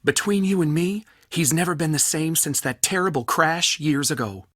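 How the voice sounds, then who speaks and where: A man playing a fussy, excitable, scholarly culture center organizer in a video game, with polite but theatrical, easily flustered delivery. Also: a little high, moderate pace, dry recording, clean studio sound. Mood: indignant, wry. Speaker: game character, festival organizer. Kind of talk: video game character dialogue.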